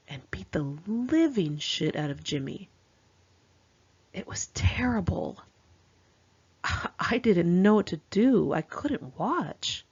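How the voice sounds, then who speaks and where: An American woman narrates a personal memoir in a low, husky voice. She sounds conversational and slangy, like an author reading her own informal story, and acts out quoted dialogue. The recording is a slightly dull, lo-fi home take. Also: darkish tone.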